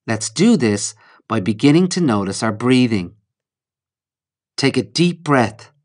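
A man narrating a guided mindfulness meditation in a calm, measured, instructional way, with short phrases and pauses. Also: mid-range voice.

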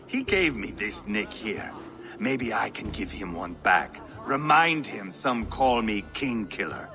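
An actor playing a brutal, crude Viking warlord who taunts and sneers. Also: male voice, fairly low pitch, very animated, slow pace, pushed and bright, big-room sound, faint music behind, full character voice. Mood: sneering. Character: brutal scarred male Viking warlord, mocking.

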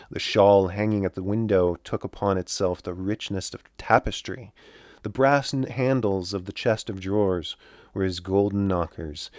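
Lowish-voiced man reading a novel dramatically. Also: a little mellow, dry recording, studio quality. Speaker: audiobook narrator.

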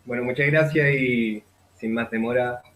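A man's voice: medium pitch, steady tone, moderate pace, a bit bright. Chilean man speaking in an echoey live recording. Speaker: singer-songwriter performing at a recital. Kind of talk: spoken dedication to a recital audience. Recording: live event recording with guitar music, roomy echo. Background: guitar music.

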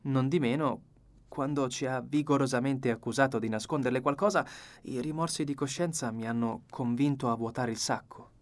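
A man's voice: medium pitch, moderate pace, natural voice. A man playing a cheerful, frank young male secretary in an audiobook, with a breezy, open manner. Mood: confessing. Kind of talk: acted audiobook dialogue.

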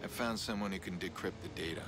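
An actor playing a grim, driven vigilante hacker in a video game, terse, muttering and guarded. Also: male voice, low-pitched, quick pace, close to an everyday voice. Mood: hostile, firm. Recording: loud game music and car engine.

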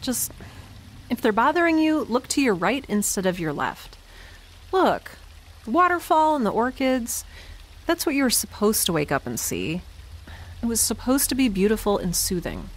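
A woman's voice: fairly high pitch, very animated, normal speed. A woman playing a chatty, quirky, anxiously upbeat space dweller in an audio drama, rambling wryly and naturally. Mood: upbeat, defensive. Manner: rambling, wry, self-reassuring. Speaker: lead character. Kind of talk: naturalistic audio drama acting, talking to herself.

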